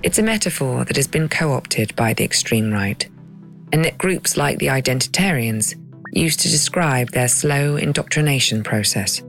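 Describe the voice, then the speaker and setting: A woman narrating and hosting a documentary podcast in a crisp, dramatic storytelling manner. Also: a bass voice, expressive, normal speed, faint music behind. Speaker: narrator and host.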